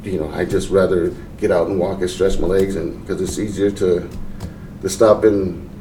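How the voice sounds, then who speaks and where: A middle-aged man from Portland telling his life story in a casual interview, unhurried and halting, with many fillers and restarts. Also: low voice, fairly even intonation, mellow, slight background noise. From Portland, Oregon. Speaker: interview guest, veteran. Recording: roomy, mic at a distance.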